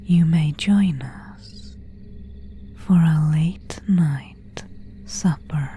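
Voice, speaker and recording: Lady acting out a courteous but menacing vampire woman, asmr roleplay, super slow dark breathy whisper. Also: deep voice, slight background noise. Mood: quietly commanding. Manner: slow, formal, old-fashioned phrasing. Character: seductive, predatory female vampire, courteous and menacing.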